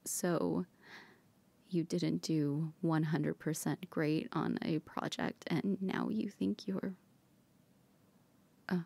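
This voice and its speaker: A woman whispering softly in an ASMR comfort roleplay, gently reassuring a partner. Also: deep voice, steady tone, takes it slowly, creaky, long pauses, husky.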